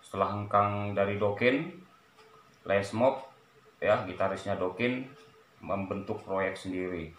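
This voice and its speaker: A middle-aged man talks in a fairly low voice, casually presenting things as a home vlogger. His delivery is very slow and halting, with short repeated phrases. The room sounds clearly echoey, with some noise behind him. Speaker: vlogger showing a cassette collection. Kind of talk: casual vlog chat, showing items. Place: small room at home, indoors.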